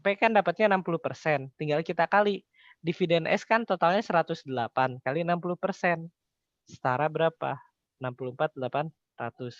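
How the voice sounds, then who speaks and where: A young man with a slightly high voice teaches in a casual, explanatory way. He poses questions and answers them himself. The recording is a little dull. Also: a little fast, no room sound. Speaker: accounting tutor. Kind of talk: informal explanatory tutoring.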